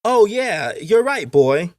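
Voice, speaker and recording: A man's voice: high-pitched, sing-song, slow pace, no room sound, clean studio sound, pitched above the performer's own voice, exaggerated voice. A man voicing a bossy young boy, a plantation owner's son, in an audiobook story. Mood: agreeing. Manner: bossy, childish.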